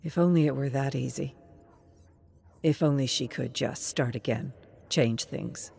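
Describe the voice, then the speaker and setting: A narrator reading a scripted dramatic story in a measured, deliberate way. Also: medium pitch, creaky, a little mellow.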